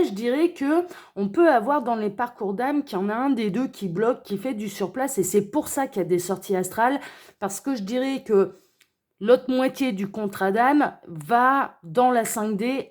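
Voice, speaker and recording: A woman's voice: mid-range voice, a little mellow. A woman with a slight cold and a sore throat, reading cards for her viewers in a chatty way, tagging her sentences with little check-ins. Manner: chatty, many "hein" and "d'accord" tags. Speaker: tarot card reader. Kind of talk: spiritual card reading to viewers.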